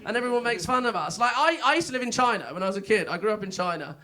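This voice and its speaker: A man's voice: high-pitched, expressive, rapid-fire, bright, forceful tone. An Englishman, a young adult, doing a chatty, self-mocking stand-up set on a comedy club stage into a handheld mic, with plenty of filler words. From England.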